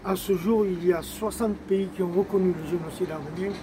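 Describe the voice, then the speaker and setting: A man's voice: high voice, fairly even intonation, a little fast. A man speaking as a guest in an explanatory commemorative talk to camera. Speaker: guest, association president. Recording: faint background ambient noise.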